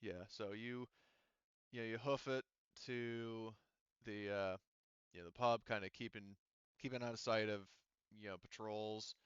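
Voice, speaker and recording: A man's voice: fairly low pitch, fairly even intonation, unhurried, clean tone, no room sound, muffled recording. A man casually narrating a tabletop roleplaying game as game master, rambling and thinking aloud as he improvises.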